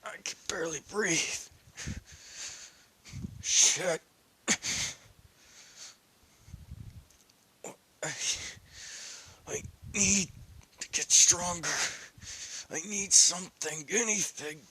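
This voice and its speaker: A man's voice: high voice, takes it slowly, long pauses, pushed and bright, slightly rough, voice pushed up, full character voice. A man voicing a defiant young fighter who curses bluntly and strains against defeat in a fan-read story. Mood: desperate.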